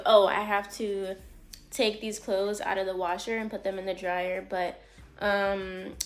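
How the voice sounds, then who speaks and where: A woman's voice: mid-range voice, a bit bright. A woman in her twenties from California, chatting casually through her day in a vlog, rambling with lots of fillers, filmed on a phone at arm's length in a small apartment. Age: 20s. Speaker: lifestyle vlogger. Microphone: phone camera held at arm's length.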